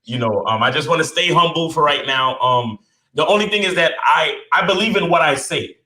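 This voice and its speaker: A middle-aged man from Brooklyn with a bright, forceful voice, heard in a roomy space. He talks in a relaxed, humble way, with plenty of fillers and restarts. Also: fairly high pitch, a little fast. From born in Jamaica, raised in Brooklyn, New York. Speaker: podcast guest, dating coach. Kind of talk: casual interview chat.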